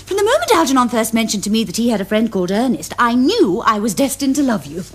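High-voiced, lilting young society lady. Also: very animated, fast, slightly rough. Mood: romantic ardour. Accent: upper-class English. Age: young adult. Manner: precise, affected, romantic. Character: poised, self-assured young Victorian society lady. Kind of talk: period stage comedy acting.

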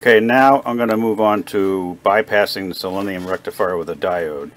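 Low-voiced man narrating casually, background noise. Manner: plain-spoken, halting, thinking aloud. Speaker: hobbyist radio repair vlogger. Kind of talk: casual unscripted workbench narration. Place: indoor home workbench. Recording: clear background noise, workshop ambience.

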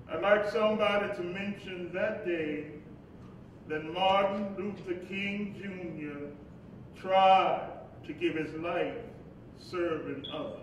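Man acting as earnest preacher, high-pitched male voice, deliberate stage oratory, distant in echoey hall. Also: fairly bright tone. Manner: slow, deliberate, drawn-out oratory. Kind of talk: staged play reading, solemn oration. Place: indoor hall at a podium. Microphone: boom-stand microphone at podium. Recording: echoey hall, distant, faint rumbling noise.

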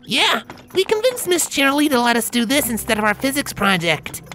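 A man's voice: extremely high pitch, very animated, brisk, pushed and bright, a little hiss or hum, pitched above the performer's own voice, exaggerated voice. A man voicing a proud, boastful little tomboy filly in a cartoon story.

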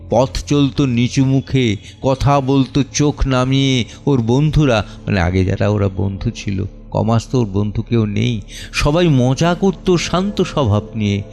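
A man acting an anguished, humble middle-aged clerk and father in an audio drama monologue, theatrical and deliberate, pausing between short phrases. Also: mid-range voice, gravelly, darkish tone. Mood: sorrowful. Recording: music under the voice. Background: background music.

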